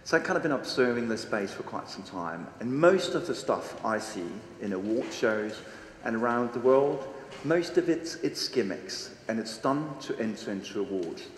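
A man's voice: medium pitch, animated, moderate pace, slight background noise. A Danish man in his forties with a Danish accent, giving a casual, blunt stage talk to a seated audience and quizzing them as he goes. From Denmark. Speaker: conference speaker. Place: large hall with a seated audience. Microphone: handheld microphone on stage. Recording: echoey hall with long reverb, faint ambience.

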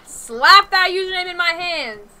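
Sharp, animated young woman. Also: very high voice, measured pace. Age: young adult. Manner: playful, teasing, slangy. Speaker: gaming streamer. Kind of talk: casual gaming chat. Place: bedroom, indoors.

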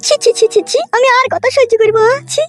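A woman voicing a foul-mouthed, scolding village woman in a comedy cartoon, with a rural West Bengal accent and a pitched-up voice. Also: steady tone, brisk, faint music behind. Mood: aggrieved. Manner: scolding, complaining.

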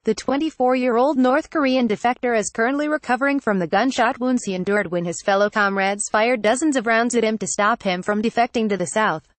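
A woman reading a scripted news report in an even, flat delivery. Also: fairly high pitch, a little fast, few pauses, dry recording. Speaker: news reader.

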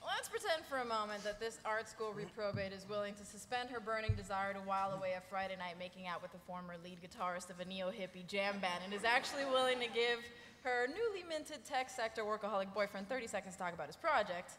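A woman's voice: medium pitch, fast talker, fairly bright tone, natural voice. A young woman playing a wry, articulate young artist with a dry, teasing manner at a live table read. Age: young adult. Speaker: actress. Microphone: table microphone. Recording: echoey convention hall, faint noise.

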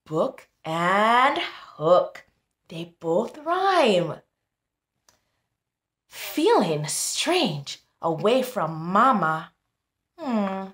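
A woman in her thirties reading aloud to young children as a tutor, pausing to teach and speaking to them directly in an animated, instructive way. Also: medium pitch. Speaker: tutor hosting a children's book club. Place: small indoor room. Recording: echoey small room.

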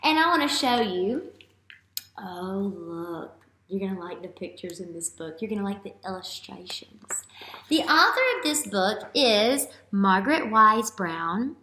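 A woman in her thirties, a teacher reading a picture book aloud to young children and asking them questions with drawn-out emphasis. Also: mid-range voice, big pitch swings, pushed and bright, slight background noise. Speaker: elementary school teacher. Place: small classroom-like room, indoors. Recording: roomy indoor echo.

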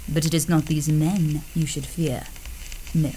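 An actress playing a fierce, proud adventuress and jungle guide in a 1930s-style radio serial. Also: female voice, deep voice, flat delivery, unhurried, dark tone, a little hiss or hum, rough recording. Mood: ominous.

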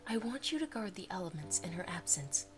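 A woman voicing a calm, authoritative pony ruler in a fan cartoon, measured and formal. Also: fairly low pitch, music in the background. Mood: calm, commanding. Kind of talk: animated fan cartoon acting.

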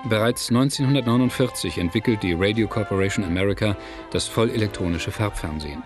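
A man narrating a scripted documentary in a measured, factual manner. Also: fairly low pitch. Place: studio voice-over, music behind.

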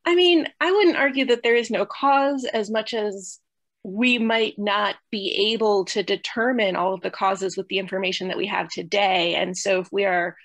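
A woman's voice: mid-range voice, moderate pace, fairly bright tone, dry recording. A young woman researcher on a video call, tentatively reasoning aloud in a thoughtful academic discussion. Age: young adult. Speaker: researcher asking a question. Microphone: video call computer mic.

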